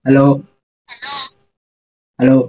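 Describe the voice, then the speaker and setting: A man's voice: unhurried, narrow, tinny audio. A young man, chatting casually and crudely as a prank-call video host. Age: young adult. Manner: informal, slangy, crude. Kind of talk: casual chat to viewers and prank calls.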